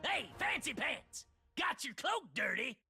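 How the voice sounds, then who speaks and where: A man's voice: very high voice, very animated, measured pace, creaky, exaggerated voice. A man playing a crazy, belligerent little yordle for a video game, an old grump with a scratchy cartoon voice, a Southern US accent and rowdy folksy delivery. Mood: rowdy, taunting. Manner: rowdy, cantankerous, folksy, often shouting. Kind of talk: video game character voice lines. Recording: game clips with music underneath.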